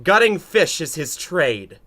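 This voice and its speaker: An actor playing a haughty, sneering rich suitor in an audiobook, with pompous, mocking theatrical delivery. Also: male voice, on the high side, very animated, slow pace, pushed and bright, exaggerated voice. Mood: scornful.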